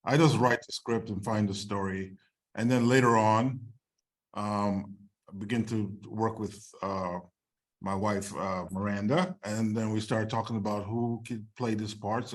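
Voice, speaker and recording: A man's voice: lowish voice, fairly even intonation, a little slow, rough recording. A man speaking as a screenwriter and filmmaker, answering questions informally on an online video call.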